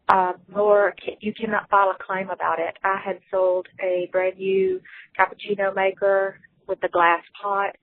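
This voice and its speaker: A woman leaving a casual voicemail over the telephone as a caller to a show. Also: medium pitch. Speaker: voicemail caller, online seller. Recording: muffled telephone voicemail line.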